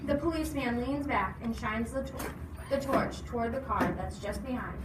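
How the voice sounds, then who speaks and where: A woman's voice: on the high side, moderate pace. A woman reading a story aloud expressively, putting questions to her listeners. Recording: echoey noisy room, distant mic.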